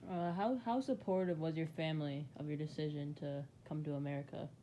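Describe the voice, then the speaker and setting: A person asking interview questions in a casual, halting way, with fillers and restarts. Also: mid-range voice, fairly even intonation, warm tone, slight background noise, rough recording.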